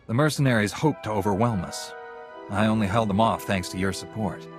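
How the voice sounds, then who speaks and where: A calm, noble warrior monk played by a man in a sci-fi game cutscene. His voice is on the low side, formal and firmly assured, with clear music behind it. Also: fairly quick, close to an everyday voice. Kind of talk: video game cutscene acting.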